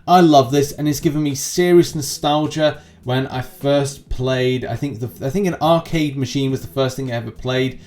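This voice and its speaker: A man's voice: mid-range voice, normal speed, hardly any pauses, darkish tone. A man giving casual, rambling gaming news commentary as a channel host, with chatty asides.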